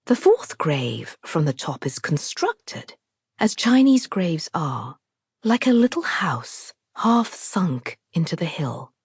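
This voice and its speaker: A woman reading a novel aloud as a scripted literary narration, in a measured, deliberate, expressive storytelling manner. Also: deep voice, vocal fry, slightly rough, no echo, clean studio sound. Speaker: author narrating her own audiobook.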